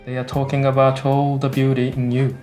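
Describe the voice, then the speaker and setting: A man playing a gentle, hesitant Japanese soldier in a radio drama. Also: mid-range voice, completely flat delivery, a little fast, soft background music, lightly acted. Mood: admiring. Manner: hesitant, polite.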